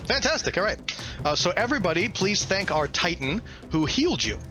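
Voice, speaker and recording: A man's voice: sits high, big pitch swings, a little fast, sharp tone. A man narrating a tabletop game as game master in an enthusiastic, theatrical way. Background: music.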